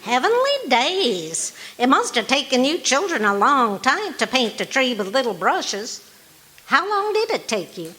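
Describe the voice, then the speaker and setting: A woman's voice: sits high, sing-song, measured pace, pushed and bright, breathy edge, heavily acted, low-quality recording. A woman playing a patient, dryly teasing wife in an old-time radio comedy, with an affectionate, wry manner. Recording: live stage performance with background noise.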